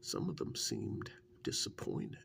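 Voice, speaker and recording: A man playing an easygoing, wryly fond long-term partner in an ASMR role-play, speaking softly and breathily in a halting, musing way. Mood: musing, reminiscing. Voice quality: soft, breathy, gravelly, half-whispered. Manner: halting, unhurried, musing with many pauses.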